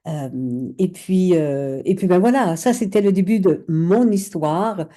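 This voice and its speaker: A woman's voice: lowish voice, lively intonation, measured pace, mellow. A woman hosting an interview, asking questions casually and hesitantly, with lots of fillers. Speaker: interviewer, host.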